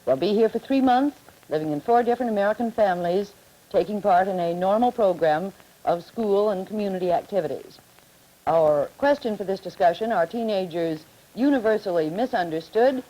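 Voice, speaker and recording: Woman, 1950s television moderator; mellow, brisk, teases her young guests. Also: medium pitch, lively intonation, poor audio. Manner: brisk, teasing questions to guests. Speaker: moderator of a televised student forum.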